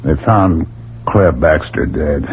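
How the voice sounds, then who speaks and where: A man's voice: very deep voice, takes it slowly, husky, exaggerated voice. An actor playing a worried, defiant middle-aged American scientist father in a 1970s radio drama, speaking emphatically. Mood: grave. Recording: muffled, hissy old radio recording.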